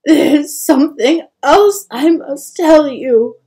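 A woman's voice: medium pitch, very animated, slow, creaky, husky, heavily acted. A woman voicing an anxious, loving wood troll mother in a storybook, tearful and emphatic. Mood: tearful, anguished.

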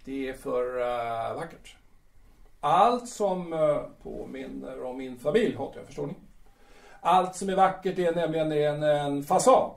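A man playing a short, boastful, bitter young playwright in an audio drama, speaking in halting, repeated phrases. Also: a little high, gravelly. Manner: boastful, halting, stammering repetitions. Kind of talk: Swedish audio drama acting. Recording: roomy echo.

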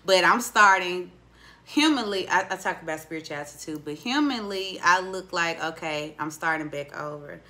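Bright-voiced chatty woman podcast host. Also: fairly low pitch, moderate pace. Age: 20s. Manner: chatty, expressive, teasing. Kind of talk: casual podcast interview. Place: small indoor studio. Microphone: studio mic on boom arm.

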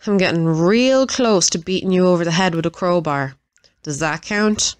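A woman's voice: on the low side, moderate pace, clear, resonant tone, no echo, close to an everyday voice. A woman playing a sadistic, flirtatious assassin in an audio drama, with an Irish accent and a playful, taunting manner. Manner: taunting, droll, gleeful. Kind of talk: audio roleplay acting.

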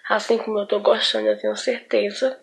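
A woman in her twenties giving a selfie testimonial, trailing off softly at phrase ends. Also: mid-range voice, flat delivery, brisk, gravelly, slightly rough, poor audio. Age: 20s. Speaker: course student giving a testimonial.

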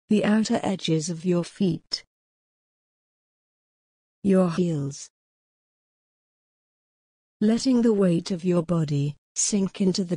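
A woman guiding a mindfulness meditation in a calm, gentle, unhurried way of instructing. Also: on the low side, dry recording, clean studio sound. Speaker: meditation guide. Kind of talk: guided mindfulness meditation narration.

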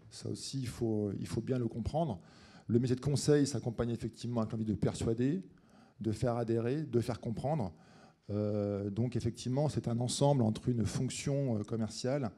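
Middle-aged man, executive on a conference panel, very mellow tone, medium pitch. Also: breathy edge. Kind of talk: panel discussion. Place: conference auditorium stage. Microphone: handheld microphone.

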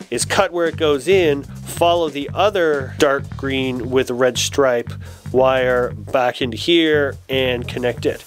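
A man in his forties explaining garage work step by step in a casual, detailed, conversational way. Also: mid-range voice. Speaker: host of a motorcycle build channel. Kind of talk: casual explanatory workshop talk. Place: garage workshop with foam-padded wall.

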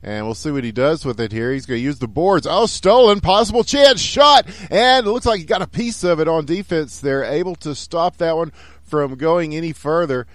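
A man with a Texan accent doing play-by-play commentary of a video game hockey match, getting excited and shouting on goals. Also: a little high, normal speed, no echo. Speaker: esports caster.

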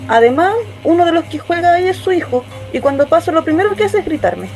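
High-pitched young Chilean neighbor. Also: female voice, animated, quick pace, a little mellow, soft background music. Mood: defensive. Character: polite, defensive young neighborhood woman. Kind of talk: radio drama acting.

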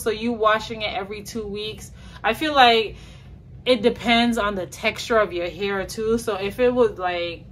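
A young woman from New York talks straight to her camera in a small bedroom, relaxed and slangy. Her delivery is slow and drawn out, with a bright, forward tone. A faint hiss of room noise sits under her voice. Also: medium pitch. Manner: chatty, slangy, lively greetings and playful asides. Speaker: hair vlogger. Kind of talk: casual chat to camera.

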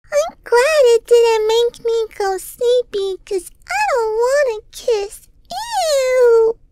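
A woman's voice: extremely high pitch, lively intonation, takes it slowly, exaggerated voice. A woman voicing a cute, innocent baby girl pony in a cartoon comic dub, with a babyish, earnest way of talking. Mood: innocent, chatty. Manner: babyish, earnest, simple phrasing.

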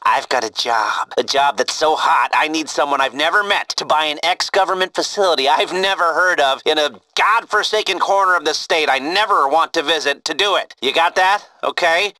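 Bright-voiced, nervy heist organiser. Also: male voice, fairly high pitch, moderate pace, few pauses, no room sound. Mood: nervous, conspiratorial. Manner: rambling, hesitant, eager. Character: nervous, chatty, excitable male heist organiser. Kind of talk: video game mission briefing.

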